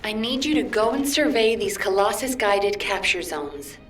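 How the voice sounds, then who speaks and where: A woman voicing a grave, analytical headquarters commander giving formal, measured mission briefings in a video game. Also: medium pitch, expressive, close to an everyday voice. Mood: serious, analytical. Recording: roomy, distant, loud music behind.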